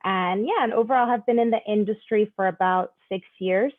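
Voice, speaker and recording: A woman's voice: medium pitch, a little fast, no echo. A woman from Panama, a guest chatting casually and laughing often, heard over a video call. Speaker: guest, wine events founder. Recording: tinny phone-like call audio.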